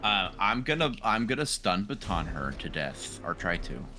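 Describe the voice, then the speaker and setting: A man's voice: low voice, sing-song, moderate pace, pushed and bright, faint music behind. A man chatting casually as a player in a tabletop roleplaying game, thinking his moves through aloud.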